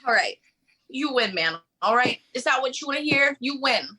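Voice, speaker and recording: A woman's voice: high voice, expressive, normal speed, bright, forceful tone, husky, exaggerated voice. A woman playing a brash male carjacker turned hostage in a comedic table read, with blustering street-slang delivery. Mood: defeated, wary.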